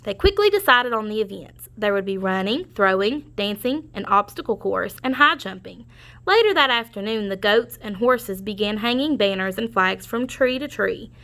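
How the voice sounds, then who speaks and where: A woman reading a children's story aloud, pausing to explain things to young listeners. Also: medium pitch, animated, a bit bright. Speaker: storybook reader. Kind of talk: children's read-aloud narration.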